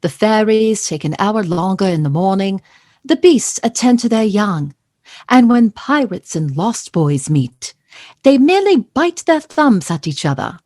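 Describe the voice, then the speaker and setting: A woman's voice: mid-range voice, very animated, measured pace, darkish tone, dry recording, clean studio sound. A woman narrating an audio play as a wry, theatrical storyteller reading scripted literary prose.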